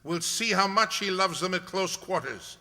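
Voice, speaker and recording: A man's voice: high voice, expressive, moderate pace, bright, forceful tone, exaggerated voice. A man playing a stern, commanding detective in a radio mystery, speaking with declamatory authority. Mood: urgent, decisive. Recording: long hall reverb.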